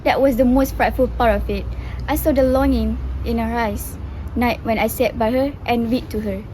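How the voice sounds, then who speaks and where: A woman's voice: on the high side, fairly even intonation, normal speed, dark tone, slight background noise, close to an everyday voice, poor audio. A woman playing a grieving, tormented son in a stage play, with earnest, formal theatrical phrasing. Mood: anguished. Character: grieving, tormented son Heinrich mourning his mother.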